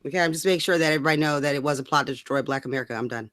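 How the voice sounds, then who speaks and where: A woman's voice: low-pitched, very fast, fairly bright tone, no room sound, clean studio sound. A woman ranting casually about politics in a blunt, emphatic, sweary way, as a co-host.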